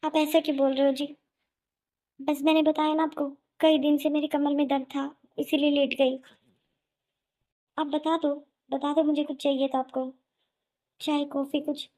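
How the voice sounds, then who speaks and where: A woman's voice: sits high, little pitch movement, normal speed, pauses often, mellow, lightly acted. A woman voicing a weary, hurt young daughter-in-law who pleads plaintively in a melodramatic cartoon. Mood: hurt, pleading. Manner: plaintive, pleading, self-pitying. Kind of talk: melodramatic Hindi cartoon voice acting.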